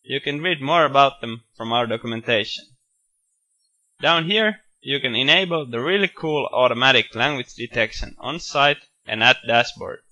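A man walking through a software screencast, explaining each step in a steady, matter-of-fact way with bits of enthusiasm. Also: mid-range voice, moderate pace, lo-fi sound. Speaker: software tutorial presenter. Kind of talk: screencast walkthrough narration.

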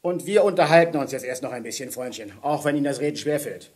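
An actor playing a gruff, exasperated, bossy police inspector in a German children's audio drama, blustering and commanding. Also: male voice, medium pitch, lively intonation, quick pace, darkish tone, echoey room, far from the mic, lightly acted. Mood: probing, stern.

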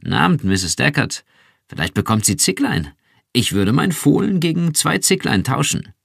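A man's voice: mid-range voice, very animated, moderate pace, vocal fry, no echo, clean studio sound, pitched above the performer's own voice, full character voice. A man voicing a friendly male neighbour who calls out cheerfully. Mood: hearty calling.

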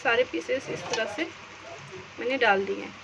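A woman's voice: fairly high pitch, moderate pace. A woman hosting a home recipe tutorial, explaining each step to viewers. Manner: instructional, step by step, addressing viewers. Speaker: home cook and channel host. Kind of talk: recipe tutorial narration over hands-on cooking. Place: home kitchen, indoors. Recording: very noisy homemade phone recording, household hum.

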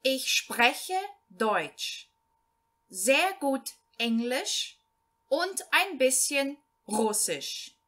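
A woman reading the lines of a man introducing himself, slowly and clearly, in a beginner language lesson. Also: sits high, big pitch swings, fairly bright tone, heavily acted. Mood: plain. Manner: slow, clearly repeated reading for learners. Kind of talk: read-aloud language lesson.

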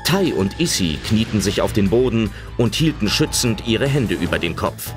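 A man's voice: lowish voice, sing-song, moderate pace, over music. A man narrating a German children's audio drama as a dramatic scripted storyteller.

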